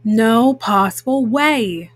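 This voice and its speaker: Woman as a slow-spoken, earnest boy bear cub. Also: a little high, animated, dry recording, pitched below the performer's own voice. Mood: defiant.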